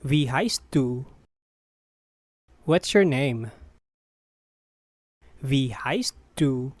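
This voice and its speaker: Very slow, deliberate lesson voice. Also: mid-range voice, expressive, darkish tone, dry recording, clean studio sound. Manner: deliberate, evenly paced repetition of short phrases. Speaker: language teacher. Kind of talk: slow phrase-by-phrase language drill.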